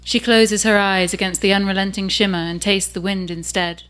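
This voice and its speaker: A woman, reading the opening of her own novel aloud in an unhurried way. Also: medium pitch, fairly even intonation, fairly bright tone, smooth. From England. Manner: measured and unhurried.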